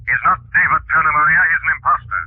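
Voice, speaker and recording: An actor playing a menacing invisible ghostly avenger, speaking in an ominous, commanding way through a filter in a 1940s radio drama. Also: male voice, a little high, fast talker, breathy edge, no echo. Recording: tinny narrow-band 1940s radio recording.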